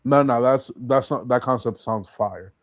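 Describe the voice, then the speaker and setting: A man's voice: mid-range voice, a little slow. A man hosting a podcast in an informal, chatty way, agreeing briefly and putting questions to his guest. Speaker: podcast host. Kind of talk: casual chat, interviewing.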